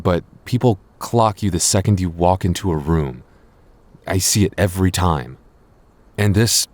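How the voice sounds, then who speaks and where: A man's voice: deep voice, a little mellow, dry recording. A man playing a jealous, apologetic boyfriend in an audio roleplay, speaking earnestly with hesitant stammers. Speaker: voice actor.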